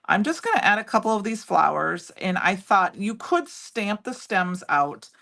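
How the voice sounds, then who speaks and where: A middle-aged woman with a loud voice, chatting and rambling as she demonstrates a craft project live and answers viewers by name. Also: mid-range voice, sing-song, normal speed, vocal fry. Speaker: crafting livestream host. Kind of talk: casual chat while demonstrating crafts.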